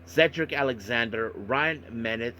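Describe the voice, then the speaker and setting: A man hosting a podcast monologue, recapping and commenting on events in a halting way with repeated filler phrases. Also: mid-range voice, pushed and bright, a little hiss or hum, low-quality recording. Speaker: wrestling podcast host.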